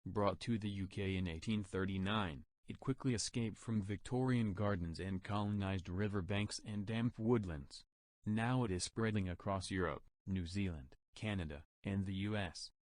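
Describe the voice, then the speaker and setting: A man's voice: deep voice, normal speed, no room sound. A man reading scripted exam practice passages aloud as a model reader.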